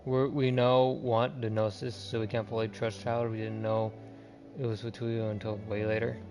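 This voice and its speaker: Casual, halting male game streamer. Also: on the low side, level tone, a little mellow, faint music behind. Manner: informal, halting, repeats words. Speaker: game livestreamer. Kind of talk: casual livestream chat and game commentary.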